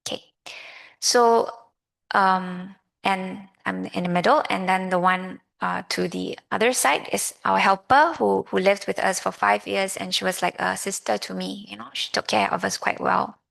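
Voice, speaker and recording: Malaysian woman, 30s, lay Buddhist speaker; creaky mid-pitched voice, conversational and halting. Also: fairly even intonation, a bit bright. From Malaysia, grew up in Kuala Lumpur. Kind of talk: personal Dhamma talk to an audience.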